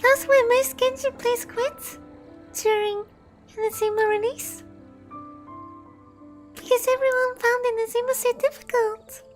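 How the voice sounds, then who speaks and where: A woman's voice: very high-pitched, steady tone, measured pace, pauses often. A woman streaming a game, chatting casually in a gushing, sentimental way. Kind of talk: casual livestream chat while gaming. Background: faint music.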